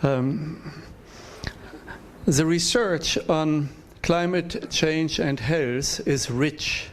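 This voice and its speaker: Measured male professor giving feedback. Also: on the high side. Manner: measured, direct, advising. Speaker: professor, discussant. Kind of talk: academic critique at a conference.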